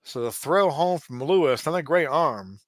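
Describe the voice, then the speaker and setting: A man's voice: fairly high pitch, moderate pace, no room sound. Chatty man in his forties calling baseball. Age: 40s. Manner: relaxed, chatty, given to asides and small restarts. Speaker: game streamer narrating a simulated baseball game. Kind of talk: casual play-by-play commentary.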